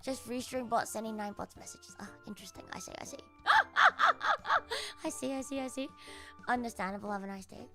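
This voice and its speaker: A woman's voice: a little high, big pitch swings, moderate pace, sharp tone, soft background music. A young woman with an Australian accent, chatting casually on a live stream and answering comments with sarcastic, swear-heavy banter and frequent laughter.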